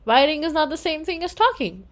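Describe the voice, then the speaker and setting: A woman's voice: sits high, big pitch swings, brisk, voice pushed up. A woman voicing a confident, bossy eight-year-old girl in a children's storybook, with an insistent, matter-of-fact manner. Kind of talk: children's storybook read-aloud.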